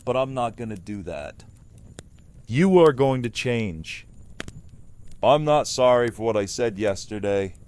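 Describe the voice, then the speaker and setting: A man playing a hard, uncompromising survivor leader in an audio drama, stern and deliberate. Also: mid-range voice, animated, darkish tone, clear, resonant tone, natural voice. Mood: stern, defiant.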